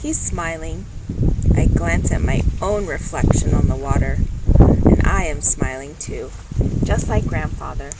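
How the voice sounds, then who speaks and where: A woman reading a children's picture book aloud in an expressive, unhurried storytelling manner, with dramatic pauses. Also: low voice. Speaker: storybook reader. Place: at home, with construction noise. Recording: home recording with loud background noise. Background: construction noise, animal sounds.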